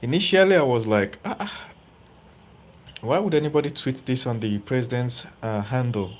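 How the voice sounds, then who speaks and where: A man's voice: mid-range voice, moderate pace, a little hiss or hum. A man giving live political commentary as host, chatty and often checking in with viewers. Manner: conversational, asks viewers rhetorical questions.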